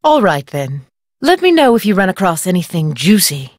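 A haughty middle-aged lady writer from a mystery game. Her voice sits a little low and swings widely in pitch, recorded close and dry. She is arch, gossipy and condescending, with a theatrical air. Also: very animated, moderate pace, a little mellow, dry recording. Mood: self-assured, arch. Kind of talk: video game character acting.

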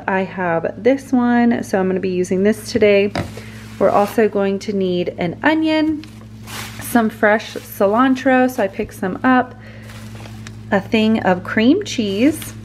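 A woman's voice: medium pitch, a little slow, darkish tone, a little hiss or hum. A woman in her thirties, a mom and vlogger, talking casually and upbeat while cooking at home, laughing often. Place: home kitchen, indoors.

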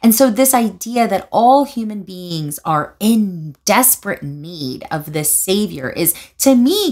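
A young woman talking to camera from her living room couch, explaining her views in an animated way with frequent fillers and restarts. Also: medium pitch. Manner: animated, gestures with hands while explaining. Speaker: video essayist. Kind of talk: casual commentary vlog.

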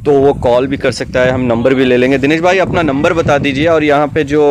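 A man's voice: mid-range voice, little pitch movement, a little fast. A man hosting a casual on-site livestock vlog, politely chatting and explaining to viewers. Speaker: host and interviewer. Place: open-air fenced goat farm yard. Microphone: handheld camera or phone mic. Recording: clear outdoor noise, animal sounds.

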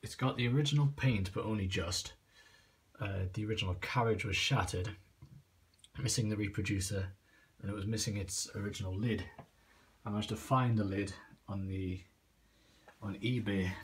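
A man giving a casual show-and-tell in an informal, understated way, recorded on a handheld device in a small room at home. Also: fairly low pitch. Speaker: antique phonograph collector. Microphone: handheld phone or camera, speaker off screen. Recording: noisy handheld recording with clicks.

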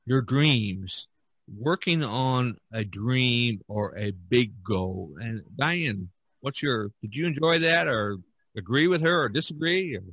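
A man's voice: mid-range voice, lively intonation, slow pace, fairly bright tone, breathy edge, dry recording, rough recording. A man hosting a podcast in a casual, chatty style. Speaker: podcast host.

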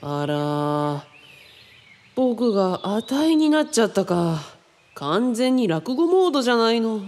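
Actress as wry young-spirited apprentice, casual and frank, fairly high voice, animated pitch, radio drama. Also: female voice, unhurried. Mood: baffled, wry. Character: frank, curious woman, wry apprentice named Kaoru.

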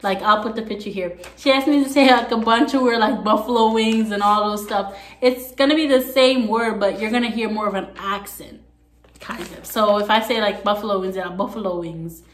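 A woman's voice: mid-range voice, fairly quick. A young woman from Jamaica with a light Jamaican accent, chatting to the camera on her vlog with sassy asides, sitting on a couch at home. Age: young adult. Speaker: vlogger. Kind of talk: casual chat to camera. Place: small room at home, on a couch. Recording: echoey room.

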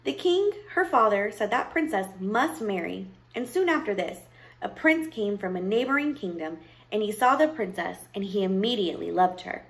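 Woman as a deliberate old lady telling fairy tales. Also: mid-range voice, expressive, natural voice, low-quality recording. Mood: measured storytelling. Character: stern old lady storyteller, grandmotherly and knowing. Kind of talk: storybook character voice.

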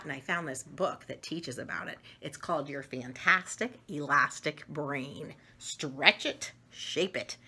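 A middle-aged woman reading a picture book aloud to children, expressive and enthusiastic, with chatty asides to her listeners. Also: sits low, slightly rough. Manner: enthusiastic, expressive, talks directly to young listeners. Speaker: read-aloud storyteller. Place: small domestic room indoors.

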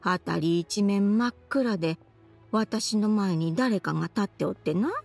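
A woman's voice: medium pitch, a little slow, vocal fry, a little mellow, faint music behind. A woman voicing a forgetful, dreamy old grandmother in a Japanese fantasy game, rambling in old-fashioned elderly phrasing. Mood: wistful, rambling. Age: older. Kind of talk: Japanese anime game voice acting.

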